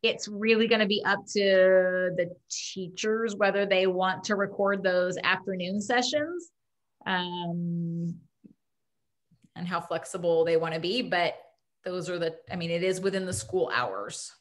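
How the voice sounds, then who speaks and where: A woman's voice: fairly low pitch, a little slow. A woman school principal answering parents' questions at a video-call town hall, informal and thinking aloud.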